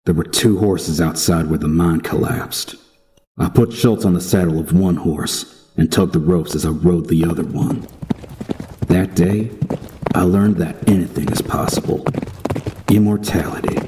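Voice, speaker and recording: A man playing a grim, laconic Old West gunslinger who narrates his own tale in a western audio drama over loud music. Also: deep voice, unhurried, a little hiss or hum. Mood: grim storytelling. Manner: terse, folksy, hard-bitten. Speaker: protagonist and narrator.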